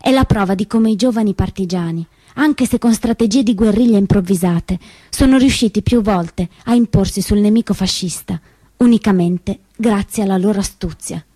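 A woman performing scripted theatrical storytelling, with dramatic, emphatic and deliberate phrasing that moves between hushed and declamatory. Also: medium pitch, breathy edge. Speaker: solo theatre performer and narrator. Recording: roomy stage sound, faint music behind.

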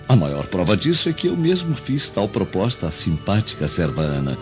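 Deep-voiced, sly scheming guard. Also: male voice, darkish tone. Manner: insinuating, oily. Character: sly, slanderous, scheming guard flattering his governor. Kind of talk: radio drama acting. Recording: dull recording over music.

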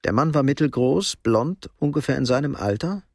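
A sober male narrator tells a radio crime drama. His voice is husky with a gravelly creak, recorded close and dry. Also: mid-range voice, lively intonation, normal speed, darkish tone, dry recording. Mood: measured.

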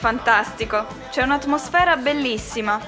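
An actress playing an excitable, earnest, mystical female party guest in a radio drama, gushing and exclamatory. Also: sits high, normal speed, lightly acted. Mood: gushing, dreamy. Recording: music behind the voice.

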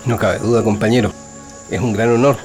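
An actor as an attentive Chilean comrade. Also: male voice, on the low side, steady tone, fairly quick, darkish tone, faint music behind, lightly acted. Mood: respectful. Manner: curious, encouraging listener. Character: male fellow prisoner, attentive admiring leftist comrade. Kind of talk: radio drama dialogue, conversational.

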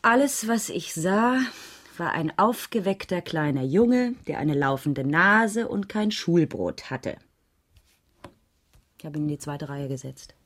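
A woman's voice: low voice, lively intonation, a little slow. A woman playing a concerned schoolteacher under questioning in a radio crime drama, speaking in a careful, reflective, slightly hesitant way. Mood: earnest, concerned. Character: concerned primary school teacher being questioned, thoughtful and defensive. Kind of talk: 1980s German radio drama dialogue.

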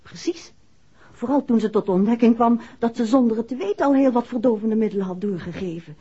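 An actress playing a composed, caring detective's wife in a 1960s radio play, with a husky voice. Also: female voice, fairly high pitch, fairly quick, mellow. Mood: explaining. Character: composed, caring detective's wife recounting events to her husband. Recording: dull, muffled 1960s radio recording.

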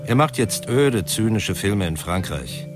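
A man's voice: on the low side, animated, unhurried. A man narrating a radio drama epilogue in a formal, scripted style. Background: music.

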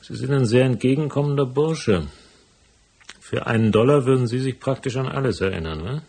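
A man playing a hard-boiled private detective in a noir radio drama, with a gravelly, husky voice and a dry, laconic delivery. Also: mid-range voice, fairly quick, darkish tone. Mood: sceptical, pressing. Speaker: first-person narrator and lead character.

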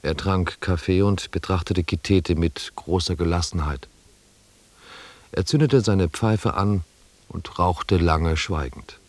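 Low-voiced, sober expedition narrator, dry sound. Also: male voice, darkish tone, no echo. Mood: detached. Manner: measured, descriptive. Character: sober expedition member recounting the journey. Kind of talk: scripted literary narration in German radio drama.

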